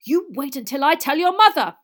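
An exasperated wizard dad from a storybook, acted out by a woman reader. He is middle-aged and shouts with loud indignation at his sons. The pitch is pushed up and swings widely. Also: sing-song, fairly quick. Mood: furious, exasperated.

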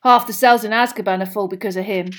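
A woman's voice: mid-range voice, fairly even intonation, fast talker, close to an everyday voice. A woman voicing an easygoing young man, explaining things casually. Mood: matter-of-fact.

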